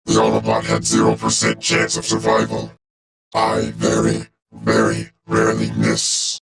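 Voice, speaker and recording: An actor playing a cold, calculating robot officer in a video game, with a gravelly droning timbre, clipped deadpan delivery and a robotic vocoder filter. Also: male voice, very deep voice, measured pace, full character voice. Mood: cold, menacing. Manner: clipped, deadpan, formal reporting. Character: cold, calculating male robot war machine officer, loyal and menacing. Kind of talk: video game character voice lines.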